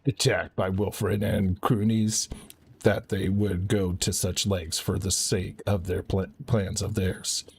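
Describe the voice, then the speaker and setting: A man's voice: deep voice, level tone, takes it slowly, vocal fry, slightly rough, full character voice. A man reading out the formal, old-fashioned lines of a grave, worried ally in a fantasy game. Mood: grave, concerned. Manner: formal, archaic wording. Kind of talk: reading game dialogue aloud.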